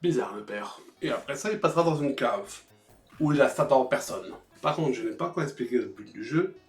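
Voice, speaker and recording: A man's voice: medium pitch, lively intonation, fairly quick. A man giving humorous scripted video game review narration, sarcastic and foul-mouthed. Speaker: host of a retro video game review show. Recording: roomy echo, music behind.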